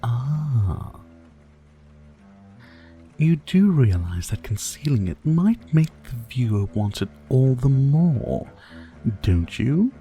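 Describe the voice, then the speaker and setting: Guy doing a seductive vampire for asmr roleplay, slow swooping delivery, polite but mocking, music behind. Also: mid-range voice, big pitch swings, warm tone. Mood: predatory, admiring. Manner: courtly, mocking, seductive, with drawn-out pauses. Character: suave sadistic male vampire host toying with his prey. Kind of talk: intimate roleplay ASMR acting.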